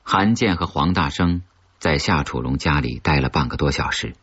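A man's voice: a bass voice, moderate pace, a bit bright, slightly rough, lo-fi sound. A man reading a suspense novel aloud as a scripted audiobook, with dramatic storytelling delivery. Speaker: audiobook narrator.

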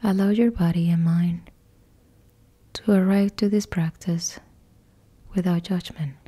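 Woman, meditation guide, whispered delivery, very slow and low, close to the mic. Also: fairly even intonation, mellow, husky. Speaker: yoga nidra guide.

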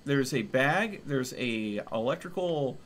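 A man chatting casually as he streams a game, exclamatory and quick to swear. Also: medium pitch, lively intonation, fairly bright tone. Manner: profane, exclamatory. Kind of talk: casual gaming chat with friends. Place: indoor room, streaming setup.

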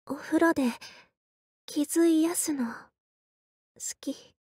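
High, soft, halting quiet soldier girl. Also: no room sound, clean studio sound. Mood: quiet, deadpan. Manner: soft-spoken, deadpan, halting short phrases. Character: quiet, reserved young female soldier, bookish and injury-prone. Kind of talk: Japanese game character voice lines.

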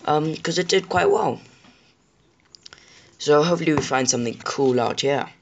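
A man with a sore throat and a slightly rough voice, chatting casually to viewers while he plays a survival game. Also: on the high side, moderate pace, lo-fi sound, a little hiss or hum. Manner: chatty, apologetic, addresses viewers directly. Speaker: gaming channel host. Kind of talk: casual gameplay commentary.

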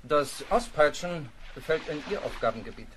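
An actor playing a stern, fault-finding naval captain in a radio play, clipped, commanding and condescending. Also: male voice, on the high side, lively intonation, moderate pace, noisy background, close to an everyday voice. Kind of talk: German radio play acting.

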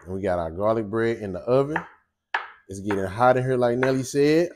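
Chatty forties male cook-show host, mid-pitched, talks to camera from his home kitchen. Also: a little fast, background noise. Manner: chatty, animated, talks straight to viewers. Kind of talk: casual cooking chat and monologue.

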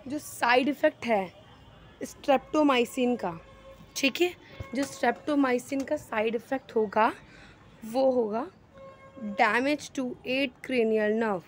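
High-pitched woman slowly reading quiz questions. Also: a bit bright. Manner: mixes Hindi and English, repeats "ठीक है"; reads questions slowly, explains quickly. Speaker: nursing exam tutor. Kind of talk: explaining exam answers. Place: voice-over on screen recording.

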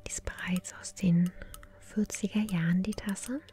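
A woman whispers gently and politely close to the microphone, as a shopkeeper in an ASMR roleplay. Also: mid-range voice, fairly even intonation. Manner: gentle, unhurried, polite customer service. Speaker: ASMR creator playing a tea shop owner. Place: small indoor room. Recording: soft music behind close whisper. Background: relaxing music.

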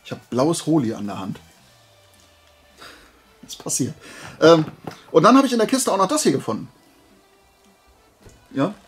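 A man's voice: fairly high pitch, huge pitch swings, moderate pace, long pauses, husky, faint music behind. A man chatting casually to viewers as a video creator, colloquial and playful, wandering off into little digressions. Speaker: video creator presenting flea market finds. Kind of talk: casual rambling chat to viewers.

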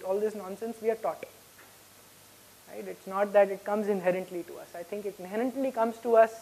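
A man's voice: sits high, darkish tone, rough recording. Middle-aged male keynote speaker, Indian accent, candid, informal Q&A delivery. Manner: candid, conversational, frequent "right?" tags. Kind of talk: informal audience question-and-answer. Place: conference hall stage, indoors.

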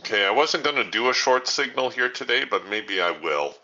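A man hosting a trading training room, thinking aloud in a relaxed way as he walks through live trades. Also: mid-range voice, a little fast, highs cut off. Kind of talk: live trading commentary over screen share.

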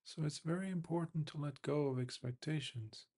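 A man, a Buddhist monk, leads a guided meditation in an unhurried, measured way, leaving long pauses between short phrases. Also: on the high side, warm tone, breathy edge.

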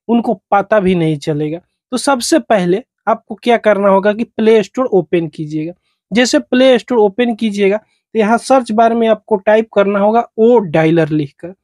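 A man's voice: high-pitched, darkish tone, dry recording, studio quality. A man narrates a step-by-step phone tutorial as its host, in repetitive, instructional phrasing.

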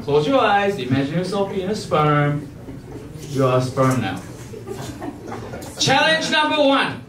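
High-voiced man doing stand-up, echoey stage. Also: distant mic. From Japan. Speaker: amateur stand-up comedian. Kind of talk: stand-up comedy performance. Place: theater stage before a live audience. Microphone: handheld wired microphone. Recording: echoey stage mic, heard from afar.

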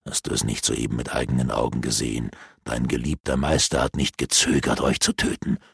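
Margok, a man doing a sneering dark elf. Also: steady tone, brisk, vocal fry, husky, dry recording, clean studio sound, heavily acted. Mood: sneering, persuasive. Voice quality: low, hushed and sneering. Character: gloating sinister male dark elf sorcerer.

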